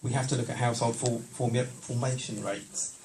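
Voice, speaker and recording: A planning official lays out how housing figures are worked out, calm and methodical and stumbling a little over words. He is picked up from a distance, with a light murmur of noise behind him. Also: mid-range voice. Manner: measured, explanatory, some hesitation. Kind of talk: explaining at a public meeting. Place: council meeting hall. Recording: distant mic with background noise.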